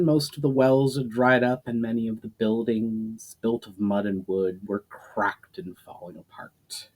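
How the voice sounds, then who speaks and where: Low-voiced man reading fantasy aloud. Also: a little mellow, rough recording. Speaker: writer reading own story to channel viewers. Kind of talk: dramatic scripted narration of a fantasy story.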